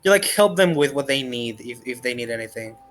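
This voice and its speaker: A man acts as game master in an improvised tabletop session. His mid-pitched voice stops and starts as he thinks aloud. Music plays faintly behind him. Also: expressive, fairly quick, fairly bright tone. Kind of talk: improvised tabletop roleplay, casual. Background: background music.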